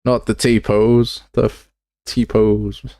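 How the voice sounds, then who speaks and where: A man with a very low, creaky voice, recorded close and dry. He talks casually as a livestream host, thinking aloud while he works. His delivery is informal and rambling, with a self-deprecating streak. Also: little pitch movement, drawn-out words, warm tone, no echo. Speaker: game development livestream host.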